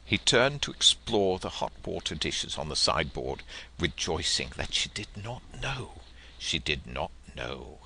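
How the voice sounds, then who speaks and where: A man reading literary prose and verse aloud as an audiobook narrator, with theatrical, deliberate phrasing. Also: low-pitched, gravelly, sharp tone, breathy edge.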